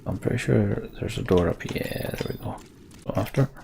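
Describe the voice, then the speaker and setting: A man's voice: lowish voice, moderate pace, gravelly, dark tone, a little hiss or hum. A man doing casual live commentary on a video game, rambling and thinking aloud as he plays. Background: game music, keyboard typing.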